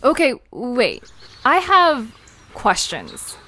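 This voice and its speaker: A woman's voice: a little high, wildly swooping pitch, very slow, bright, forceful tone, heavily acted. A woman playing a curious, eager, chatty young visitor in a conversational audio drama. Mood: incredulous. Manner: inquisitive, reactive. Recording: background noise with outdoor ambience.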